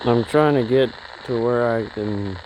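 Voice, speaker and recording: A man's voice: mid-range voice, measured pace, lo-fi sound. Folksy man chatting over radio noise. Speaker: CB radio hobbyist presenting a video. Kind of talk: casual chat to viewers about the radio. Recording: clear background noise, radio static. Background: CB radio static and chatter.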